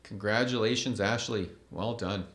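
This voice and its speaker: A man in his thirties reading school award announcements from a podium microphone in a measured, formal way. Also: fairly low pitch, fairly bright tone, echoey room. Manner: measured, formal, reads names slowly. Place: school event stage at a podium.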